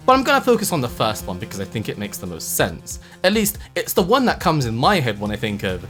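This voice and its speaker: A man narrating a video essay in an explanatory, measured way with emphatic phrasing and casual asides. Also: mid-range voice, fairly bright tone. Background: background music.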